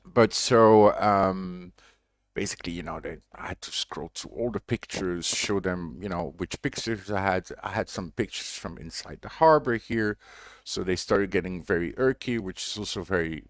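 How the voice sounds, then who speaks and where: Low-voiced man telling a long story. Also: moderate pace, dry recording. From Belgium. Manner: rambling, many fillers and restarts. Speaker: diver and reef conservation project lead. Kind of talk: casual livestream update, storytelling.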